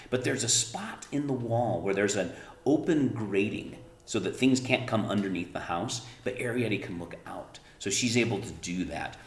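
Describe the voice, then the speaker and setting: A man reading a storybook aloud as narrator, with expressive, emphatic phrasing and casual explanatory asides. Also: fairly low pitch, roomy sound.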